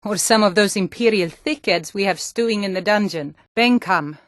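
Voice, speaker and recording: A woman's voice: mid-range voice, moderate pace, no echo, studio quality, lightly acted. An actress voicing a hardy, no-nonsense Nord townswoman and guard in a fantasy video game, with a firm, plain-spoken delivery. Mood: stern, commanding. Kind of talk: scripted fantasy game dialogue.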